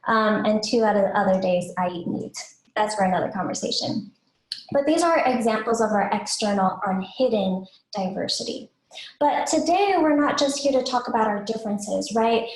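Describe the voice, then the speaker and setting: A woman with a soft voice presenting a webinar to parents in an informal, explanatory way, posing questions as she goes. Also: medium pitch, animated, moderate pace. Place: indoors, online webinar. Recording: roomy, echoey webinar audio.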